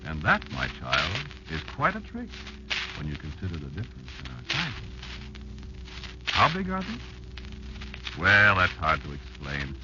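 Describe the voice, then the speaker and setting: Old insect patriarch, vintage radio drama, low-voiced, ominous, deliberate, very animated intonation, dull archival sound. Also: male voice, exaggerated voice. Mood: wry, instructive. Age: older. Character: old insect elder warning his child of giants. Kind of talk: 1940s radio drama monologue. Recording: muffled old 1940s radio recording, loud music.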